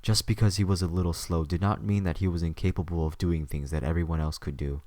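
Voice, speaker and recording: A man's voice: sits low, fairly even intonation, quick pace, a little mellow, no echo. A man narrating a scripted story for an audio drama.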